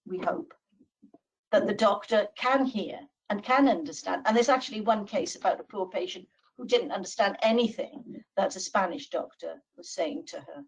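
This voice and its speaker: Welsh lady law professor, bit husky, kinda far from the mic on a webinar call. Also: medium pitch. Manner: deliberate, with frequent um fillers. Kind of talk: measured expert presentation.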